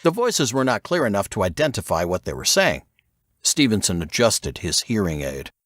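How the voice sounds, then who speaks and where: Low-voiced man narrating fiction, lively pitch. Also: normal speed, no room sound, clean studio sound. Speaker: audiobook narrator.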